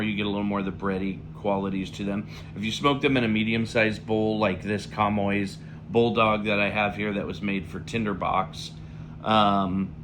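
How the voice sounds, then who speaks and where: A man with a slightly raspy voice, still getting over an illness, talking through a pipe tobacco review in a relaxed, rambling way with lots of fillers. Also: lowish voice, background noise. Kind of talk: casual spoken review.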